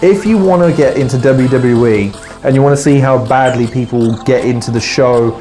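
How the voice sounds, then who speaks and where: A man's voice: medium pitch, normal speed, dark tone, soft background music. Rambling, swearing podcast host man. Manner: swearing, rambling, with fillers and trailing restarts. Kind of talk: solo podcast monologue.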